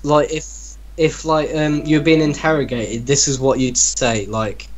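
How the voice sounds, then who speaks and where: A man's voice: mid-range voice, level tone, a little slow. Man reading a story aloud, bantering. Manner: breaks off to mock the text he reads. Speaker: reader in an online reaction group. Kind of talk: dramatic reading mixed with casual banter.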